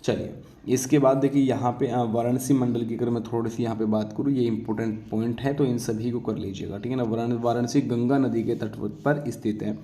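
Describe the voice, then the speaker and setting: A man's voice: medium pitch, steady tone, fairly quick, dark tone. Man teaching in an echoey room. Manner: instructive, repeats check-in tags and asks questions he answers himself. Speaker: exam coaching teacher. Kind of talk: informal exam-prep lecture to students.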